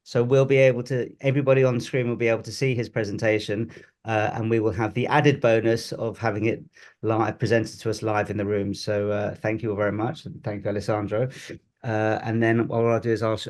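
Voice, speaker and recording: A man's voice: fairly low pitch, little pitch movement, a little fast, darkish tone. A man organizing a study group meeting, introducing the speaker from a bookshop room with frequent restarts.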